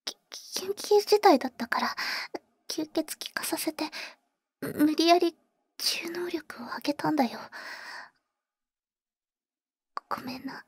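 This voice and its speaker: A woman playing a devoted, tsundere female vampire in a whispered Japanese ASMR roleplay, speaking haltingly and bluntly, very close to the microphone. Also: sits high, lively intonation, no room sound. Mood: apologetic, hesitant. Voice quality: breathy, whispered. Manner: halting, murmured, blunt boyish phrasing. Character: protective, tsundere older-sister female vampire, tenderly devoted. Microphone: very close, dry ASMR microphone.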